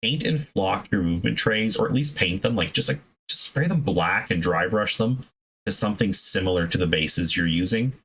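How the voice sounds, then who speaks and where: A man's voice: fairly low pitch, animated, normal speed, fairly bright tone, breathy edge, rough recording. A man giving casual, unscripted hobby advice as a video host, in a conversational, emphatic way that rambles into asides.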